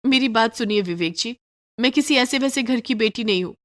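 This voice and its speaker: A woman's voice: on the high side, fairly quick, fairly bright tone, lightly acted. A woman voicing a haughty, spoiled rich young wife who complains indignantly, in a Hindi moral story cartoon.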